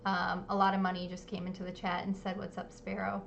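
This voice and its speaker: A woman hosting a live-streamed artist interview, talking casually with lots of ums and quick apologies. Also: lowish voice, completely flat delivery, creaky, muffled recording, slight background noise. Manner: chatty, many ums, apologetic. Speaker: livestream interview host. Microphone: home streaming setup.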